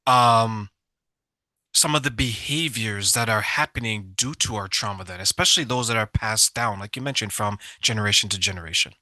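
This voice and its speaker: A man's voice: on the low side, moderate pace, sharp tone, no room sound. A man hosting an interview, asking friendly, reflective questions. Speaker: show host. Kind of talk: interview conversation.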